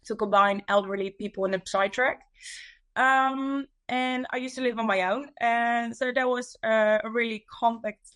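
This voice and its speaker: A woman's voice: mid-range voice, a bit bright. A young woman from the Netherlands with a Dutch accent, chatting casually as a guest in an interview. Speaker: podcast guest, women's coach.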